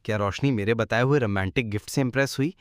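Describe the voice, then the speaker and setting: A man's voice: mid-range voice, fast, dry recording, studio quality, close to an everyday voice. A man playing a nosy, teasing male friend in an audio drama. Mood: teasing, curious. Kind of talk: acted line in an audio drama.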